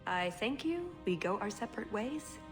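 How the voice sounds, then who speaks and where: Casting: a self-assured, wry game spy, composed and measured, medium pitch, soft music underneath. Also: female voice, big pitch swings. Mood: frank. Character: poised female spy, cool, philosophical, self-assured. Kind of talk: video game voice acting.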